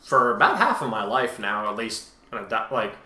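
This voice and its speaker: A man's voice: on the low side, lively intonation, moderate pace, pushed and bright. A man hosting a casual discussion of his own writing, rambling and often correcting himself as he goes. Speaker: host, fan fiction author and reader. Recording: echoey room.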